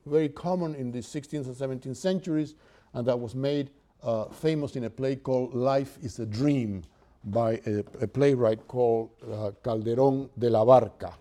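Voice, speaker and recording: A man with a Cuban accent giving a literature lecture in a halting way, with many filler sounds. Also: medium pitch, mellow. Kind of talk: university lecture.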